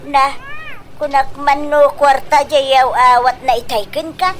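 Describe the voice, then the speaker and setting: A woman playing a scheming, greedy, pushy middle-aged mother in a comedy radio drama, gushing with drawn-out exclamations in an Ilocano accent. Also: sits high, steady tone, soft background music. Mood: pushy, coaxing.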